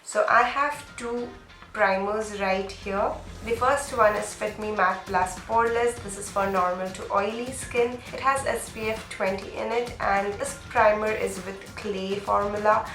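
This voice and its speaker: A woman's voice: medium pitch, fairly even intonation, unhurried, fairly bright tone. A young woman with a nasal voice from a cold, chatting through a makeup tutorial step by step. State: sick with a cold. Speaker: beauty vlogger. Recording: echoey room, faint music.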